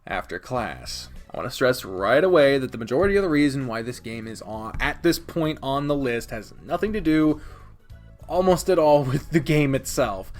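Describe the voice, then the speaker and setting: A man's voice: mid-range voice, animated, moderate pace, soft background music. A man giving a casual, opinionated countdown review as a video host, partly scripted, with a blunt, sweary manner. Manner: sweary, blunt, chuckling asides.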